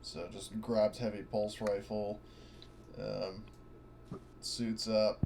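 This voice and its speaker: A man's voice: fairly low pitch, little pitch movement, takes it slowly, creaky, a little mellow, a little hiss or hum. A man playing a tabletop game over a voice call, rambling with many fillers and bursts of laughter. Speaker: player.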